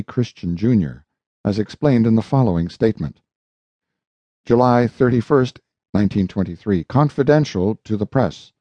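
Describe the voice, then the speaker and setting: A man with a dark, mellow voice reads aloud as an audiobook narrator. His delivery is formal and measured, like solemn oratory from a prepared script. The recording is a little dull, with audible room echo. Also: on the low side. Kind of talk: formal scripted reading of a historical speech, oratorical.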